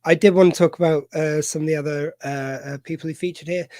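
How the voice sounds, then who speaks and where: A man hosting a casual film discussion, talking in a rambling, halting way, full of fillers and restarts. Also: a little high, level tone, darkish tone, dry recording, clean studio sound. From United Kingdom. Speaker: podcast host. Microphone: video call mic.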